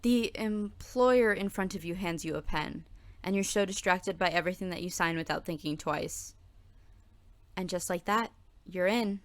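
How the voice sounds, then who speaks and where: Audio drama lead: woman playing a nervous, overworked new barista, lower-pitched voice, scripted narration. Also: dry recording, natural voice. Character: nervous, overworked new coffee shop worker. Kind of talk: scripted second-person narration.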